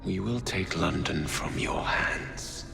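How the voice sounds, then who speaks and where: A man's voice: sits low, slow, gravelly, over music, heavily acted. An actor playing a cocky, brash young male assassin with terse delivery in a video game. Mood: defiant. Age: young adult. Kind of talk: video game cutscene acting.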